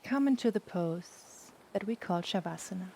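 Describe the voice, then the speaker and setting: A woman guiding a yoga nidra relaxation in a soft, soothing, unhurried voice with long pauses. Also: mid-range voice, creaky, low-quality recording. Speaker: yoga nidra meditation guide. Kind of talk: guided relaxation meditation. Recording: steady background noise, ocean waves. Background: sound of the ocean.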